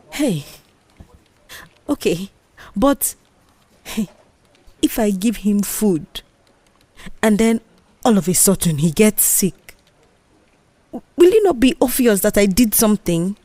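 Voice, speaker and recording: A woman plays a nervous young Nigerian office worker in a radio-style drama. She speaks slowly and falteringly, easily alarmed and full of doubt. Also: mid-range voice, very animated, warm tone, no echo. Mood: worried, doubtful. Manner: hesitant, faltering, worried. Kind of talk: audio drama character dialogue.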